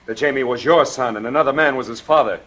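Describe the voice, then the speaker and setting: An actor playing a stern, embittered surgeon and husband with clipped, controlled delivery in 1940s radio drama. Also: male voice, medium pitch, fast, fairly bright tone, lightly acted. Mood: bitter, accusing. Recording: vintage radio broadcast.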